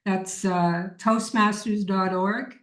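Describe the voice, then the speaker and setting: A woman's voice: mid-range voice, steady tone, slow pace, a bit bright. A woman leading an informal online workshop, answering questions in an encouraging, emphatic way. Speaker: workshop leader. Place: indoor room on a video call. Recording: echoey room.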